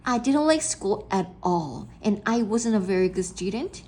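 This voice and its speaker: A woman's voice: medium pitch, animated, moderate pace, darkish tone, a little hiss or hum, natural voice. A woman voicing an easygoing, kindly male school principal in a children's storybook, patient and chatty as she explains. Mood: frank, confiding.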